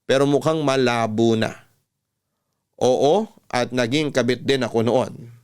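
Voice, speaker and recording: A man hosting on radio, reading a letter as a dramatic first-person story in a measured, sentimental way. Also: mid-range voice, fairly even intonation, darkish tone, clear, resonant tone.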